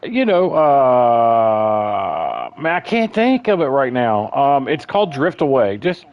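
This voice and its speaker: Bassy man chatting on a lo-fi stream. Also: very animated, takes it slowly. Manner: rambling, chatty storyteller, calls people bro. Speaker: livestream host. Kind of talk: casual chat.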